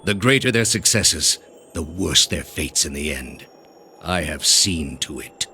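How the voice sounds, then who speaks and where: An actor playing a cold, pompous male grandmaster of an ancient zealous order in a video game, speaking deliberately and with condescension. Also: extremely low pitch, lively intonation, sharp tone, a little hiss or hum, exaggerated voice. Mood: scornful, imperious. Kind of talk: dramatic video game dialogue.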